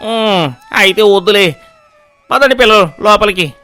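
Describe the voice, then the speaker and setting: A man's voice: sits high, a little slow, pushed and bright. A man voicing a stingy, scheming old grandfather in a moral story, bossy and dismissive as he haggles. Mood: haggling. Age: older. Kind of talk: animated moral-story voice acting.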